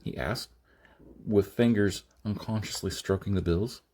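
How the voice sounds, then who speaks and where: A man reading a science fiction novel aloud as scripted narration, with a halting delivery that sometimes stumbles. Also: fairly low pitch, a little mellow. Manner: halting, stumbles and rereads phrases. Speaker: audiobook reader.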